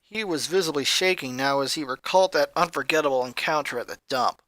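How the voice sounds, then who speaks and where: A woman's voice: sits low, fairly quick, a bit bright, no room sound. Woman narrating in a dry recording. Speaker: story narrator. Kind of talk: scripted fan fiction narration.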